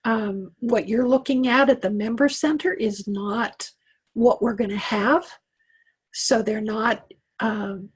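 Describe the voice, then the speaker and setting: A woman's voice: on the high side, a little mellow, slightly rough. A middle-aged woman from Wyoming, a computer coach chatting through a live online tech class, reassuring and full of fillers and restarts. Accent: Western American with a slight Southern tinge. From Wyoming, USA. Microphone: computer mic on a video call.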